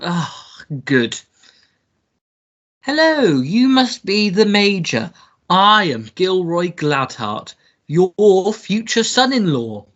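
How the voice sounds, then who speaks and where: A pompous young suitor, acted by a woman, full of himself. The voice is fairly low and theatrical, swinging widely in pitch and taking its time. It is radio melodrama, recorded a little dully. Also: sing-song, takes it slowly, dull audio. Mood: smug, hearty. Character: pompous young gentleman suitor, self-satisfied, easily outraged. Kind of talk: melodramatic radio play acting.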